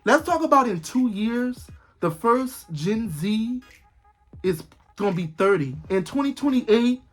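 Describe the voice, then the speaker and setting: A man's voice: sits high, rough recording. A twenty-year-old man vlogging in casual talk, rambling and repeating himself, with bursts of disbelief. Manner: rambling, repetitive, exclaiming in disbelief.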